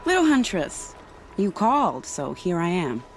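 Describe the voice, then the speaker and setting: Low-voiced, sly woman spy. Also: huge pitch swings, a little hiss or hum, full character voice. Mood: sly, playful. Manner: arch, dramatic, measured. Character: sly, poised woman spy, playful yet fiercely vengeful. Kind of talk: video game cutscene acting. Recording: music behind the voice.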